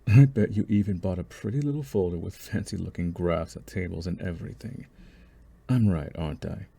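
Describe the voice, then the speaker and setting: A man's voice: sits low, a little fast, creaky, dark tone, husky, full character voice. A man playing a young, possessive mafia boss in a scripted ASMR audio roleplay, taunting and condescending in a theatrical, menacing way. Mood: mocking amusement. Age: young adult.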